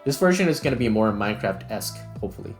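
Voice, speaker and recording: A man's voice: lowish voice, sing-song, very fast, soft background music. A middle-aged man who works as a game developer, casually narrating a screen-recorded tutorial.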